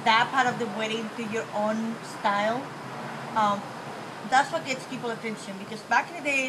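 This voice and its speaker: A woman's voice: a little high, very noisy. A woman from the Dominican Republic with a Dominican Spanish accent, answering interview questions casually and candidly, correcting herself as she goes. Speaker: interview guest, small business owner.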